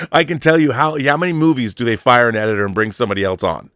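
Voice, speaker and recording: A man's voice: mid-range voice, brisk, a bit bright, dry recording. An American man in his fifties talking casually and with opinions as a show host, emphatic and nostalgic, repeating phrases for stress. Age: 50s. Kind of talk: opinionated casual monologue on pop culture.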